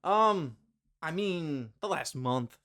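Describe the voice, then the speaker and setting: A man's voice: a little high, lively intonation, takes it slowly, sharp tone. A man hosting a podcast in casual chat, joking and rambling with lots of fillers and restarts. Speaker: podcast host.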